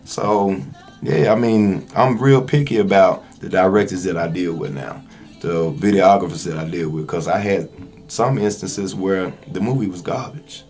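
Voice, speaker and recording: A man with a fairly low voice talks casually as an interview guest. He is candid and emphatic, using loose slang in an easy conversational podcast style. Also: moderate pace, darkish tone, soft background music. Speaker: interview guest, actor and independent filmmaker.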